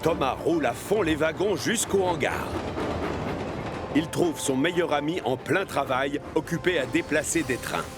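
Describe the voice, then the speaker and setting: A man's voice: a little high, normal speed, over music, natural voice. A man acting as the storybook narrator of a children's cartoon, reading scripted narration. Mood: storytelling.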